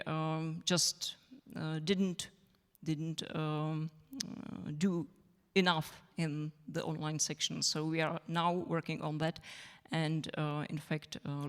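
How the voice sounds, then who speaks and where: A woman speaking English as a magazine editor on a festival panel, hesitant and full of fillers. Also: sits low. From Czech Republic. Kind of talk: panel discussion remarks. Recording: reverberant hall.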